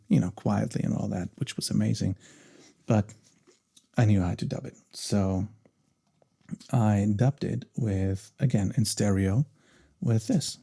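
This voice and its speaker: Low, gravelly, soft-spoken man. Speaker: voice actor and ASMR creator. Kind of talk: soft-spoken behind-the-scenes commentary.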